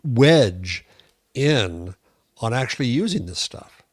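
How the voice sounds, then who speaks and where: An older man chatting as a podcast co-host, giving his opinions in a wry, halting way. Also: mid-range voice, big pitch swings, dry recording, studio quality. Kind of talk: casual opinionated discussion.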